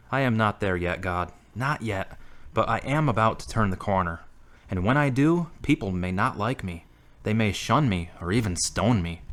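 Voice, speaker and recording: A man's voice: fairly low pitch, fairly bright tone. A man acting a brooding, earnest, troubled character in an audio drama. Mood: prayerful, searching.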